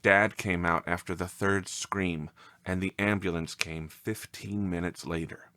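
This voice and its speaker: A man reading a scripted story as narrator, with an expressive, measured and dramatic delivery. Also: low-pitched.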